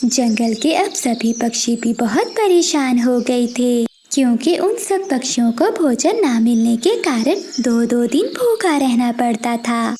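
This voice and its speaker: Female narrator for children's cartoon tale: high-pitched, mellow, slight noise behind. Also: animated, a little slow. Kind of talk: scripted children's story narration.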